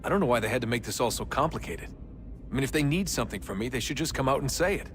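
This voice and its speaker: A man's voice: medium pitch, expressive, very fast, faint music behind, close to an everyday voice. Weary, sardonic young man's game role. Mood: earnest, frustrated. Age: young adult. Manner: casual, plain-spoken. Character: weary, sardonic young man, reluctant modern-day assassin. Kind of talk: naturalistic video game acting.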